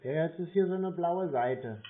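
Over a narrow, muffled phone connection comes a man with a slightly high voice. He plays the plodding customer, hesitating over each step and questioning what he is told. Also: normal speed. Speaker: scam-baiter posing as a customer. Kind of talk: phone conversation.

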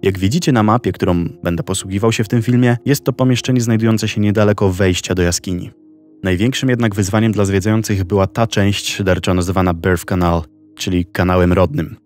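A man narrating a documentary story in a slow, measured scripted style. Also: low-pitched, fairly even intonation. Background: soft background music.

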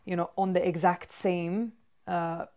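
A woman's voice: lowish voice, level tone, a little slow, darkish tone, clean tone. Upbeat woman with a Swedish accent. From Sweden. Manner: chatty and upbeat, many fillers. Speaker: fitness vlogger. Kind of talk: casual talk to camera. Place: bedroom with toys, indoors. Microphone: far camera.

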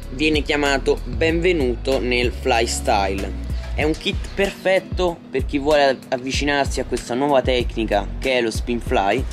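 Teenage male, mid-range pitch, enthusiastic unboxing presenter, direct to viewers, outdoor table, clear background music. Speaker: fishing vlogger, presenter. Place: outdoors at a patio table in a garden.

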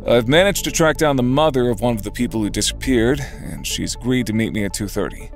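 A man's voice: medium pitch, fast, faint music behind, natural voice. A man playing an eager, businesslike investigative journalist in scripted audio drama dialogue. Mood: businesslike, keen.

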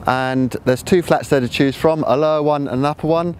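A middle-aged man hosting a walking video tour of holiday flats, chatty and friendly as he shows rooms. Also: fairly high pitch, fairly quick, slight background noise. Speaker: property video host. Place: seaside quay outdoors, then rooms of a flat.

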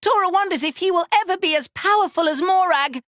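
A man voicing a small, excitable, proud furry inventor creature for a video game, squeaky and cartoonish, talking in childlike broken grammar and in the third person. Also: a little fast, no room sound, studio quality. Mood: excitable, chatty. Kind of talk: video game character voice lines.

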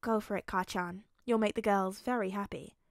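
A woman voicing a patient, gentle teenage boy in a story, speaking in a soft, careful, coaxing way. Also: mid-range voice, fairly even intonation, normal speed, dry recording, studio quality, close to an everyday voice. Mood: wry.

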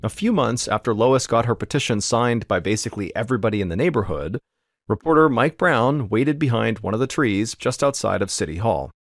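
A man hosting a podcast, telling a true story in steady, suspenseful scripted narration. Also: medium pitch, fairly quick, no room sound.

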